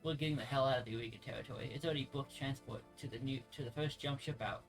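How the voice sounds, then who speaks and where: A man's voice: mid-range voice, fairly even intonation, very fast, natural voice. Plain-spoken mercenary officer, read by a man. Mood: businesslike. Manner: conversational, stumbles over words. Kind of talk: reading game dialogue aloud. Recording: music playing behind the voice.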